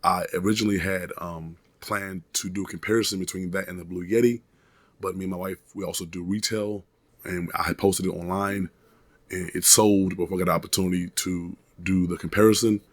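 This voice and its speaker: A man in his thirties, hoarse from a cold, casually reviewing a microphone in a rambling way, with many restarts and fillers, recorded on a desk USB mic in a small room at home. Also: sits low, fairly even intonation, fairly quick, no room sound. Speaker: product reviewer. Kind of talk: casual product review vlog. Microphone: desk condenser USB mic.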